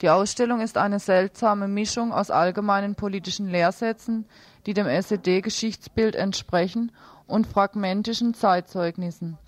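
A woman co-presents radio news, reading out reports and announcements. Her voice is of average pitch and is heard dry, with very little room sound around it. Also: normal speed, no room sound.

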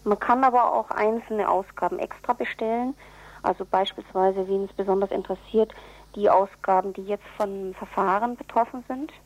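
A woman being interviewed on radio, explaining things in an earnest, deliberate way. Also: mid-range voice, fairly even intonation, rough recording. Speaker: interviewee, activist for prisoners' relatives newsletter.

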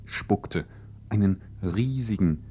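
A man narrating a satirical space adventure in a hushed, mock-serious tone. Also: lowish voice, expressive, slow, breathy edge. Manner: hushed, whispering in places.

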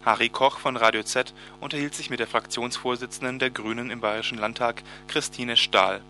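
A man's voice: medium pitch, fairly even intonation, fairly quick, pushed and bright, slight background noise. A man reading a scripted news report as a radio presenter.

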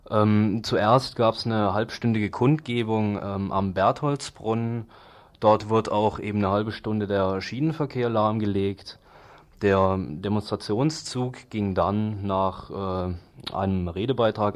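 Low-voiced man, halting radio report. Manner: halting, searching for words. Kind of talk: unscripted spoken studio report. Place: radio studio. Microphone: studio microphone.

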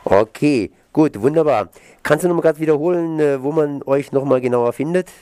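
A man's voice: a little high, normal speed, mellow, no echo. A man hosting an informal radio interview, putting questions to his guest.

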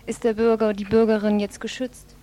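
Mellow-voiced woman interviewing on radio. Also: mid-range voice, level tone, normal speed. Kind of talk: prepared interview questions and summaries.

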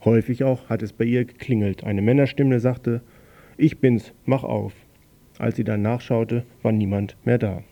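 A man reading a news bulletin on a local radio station in a plain, slightly halting way, correcting himself now and then. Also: medium pitch, fairly even intonation, dull audio.

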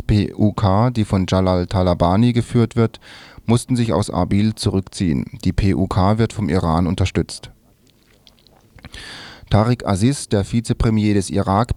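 Low-voiced man reading scripted radio text. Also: warm tone, dry recording. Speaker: radio newsreader. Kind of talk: scripted reading of texts and news.

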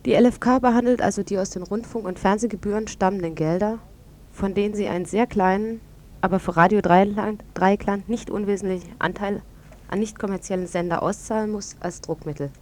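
Radio presenter, woman, soft-edged mellow voice, mid pitch, reading scripted news commentary. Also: a little fast.

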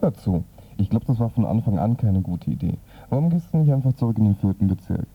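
An exasperated, despairing antihero played by a man in a comic radio play. He is gruff and fretful with swooping intonation, heard from a distance through a muffled recording. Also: lowish voice, lively intonation, fast, full character voice. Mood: impatient.

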